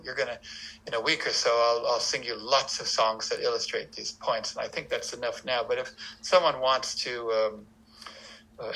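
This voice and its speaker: A man's voice: mid-range voice, sharp tone, breathy edge, low-quality recording. A middle-aged man, a speaker, chatting hesitantly with his audience on a video call after his talk. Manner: hesitant, many restarts. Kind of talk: casual chat after a talk.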